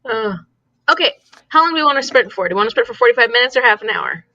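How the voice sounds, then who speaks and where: A woman's voice: a little high, lively intonation, racing, bright, forceful tone, no room sound, highs cut off. A woman co-hosting an online reading livestream, chatting casually and repeating herself for emphasis. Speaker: co-host of online reading sprints.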